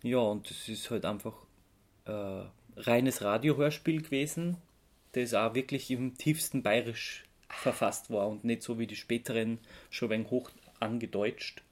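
A man with an Austrian accent co-hosting a podcast, explaining things casually in a somewhat halting way. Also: medium pitch. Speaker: podcast co-host.

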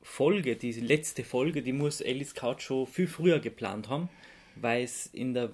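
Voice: medium pitch, unhurried, a little mellow. A podcast host chatting casually in a jokey way, often ready to laugh. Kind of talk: casual chat.

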